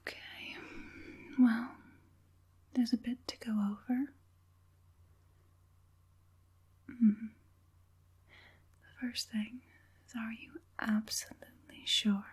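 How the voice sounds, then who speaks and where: A woman voicing an evil witch puppet master in an ASMR roleplay, mostly whispering in a breathy, deliberate, murmuring way. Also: a little high, little pitch movement, full character voice. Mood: hushed, probing. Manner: slow, deliberate, murmuring assent between pauses.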